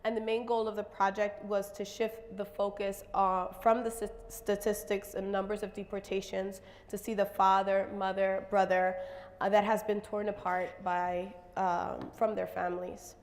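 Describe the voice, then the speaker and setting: A woman with a slightly raspy voice presents her artwork to an audience, pausing often with fillers. Her pitch stays fairly flat. The sound carries the long reverb of a large hall. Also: a little hiss or hum. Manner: halting, many fillers. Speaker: visual artist presenting her work. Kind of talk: stage presentation.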